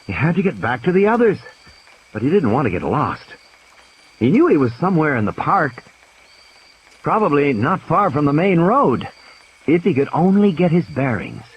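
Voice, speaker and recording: A man narrating an audio play in a dramatic, suspenseful and deliberate storytelling style. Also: fairly high pitch, sing-song, muffled recording, slight background noise. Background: cricket-like night ambience sound effects.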